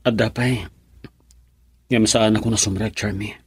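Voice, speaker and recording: An actor playing a defiant, earnest young son and sweetheart in a Filipino radio drama, with a husky voice and Filipino accent. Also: male voice, lowish voice, fairly even intonation, unhurried. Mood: persuasive. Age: young adult.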